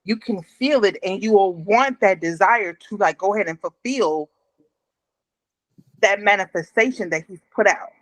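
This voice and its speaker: A woman's voice: mid-range voice, normal speed, a bit bright, no echo, poor audio. A woman speaking as a podcast guest on a video call, in casual, reflective conversation.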